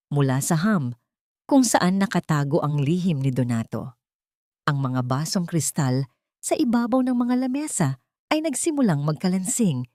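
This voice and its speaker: A woman's voice: low voice, mellow, no echo, studio quality. Woman narrator with dramatic, swooping delivery. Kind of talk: dramatic scripted story narration.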